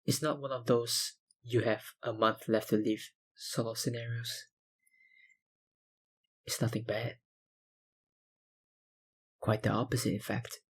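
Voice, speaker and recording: A man playing an obsessive, menacingly polite vampire researcher in an intimate ASMR roleplay, with deliberate, insinuating phrasing and a breathy voice that often drops to a whisper. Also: mid-range voice, little pitch movement. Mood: calm, reassuring. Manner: slow, deliberate, politely insinuating, with sighs and pauses.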